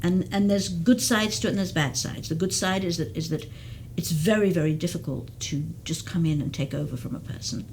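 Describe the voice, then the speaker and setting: A woman's voice: fairly low pitch, brisk, few pauses, darkish tone, slight background noise. A woman who works as a geriatric case manager, explaining at length in an interview with frequent restarts. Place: community TV studio.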